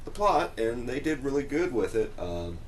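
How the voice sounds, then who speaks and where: A man in a casual group discussion who makes his points deliberately and checks that others agree. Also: medium pitch, sing-song, a little hiss or hum. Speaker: podcast panelist.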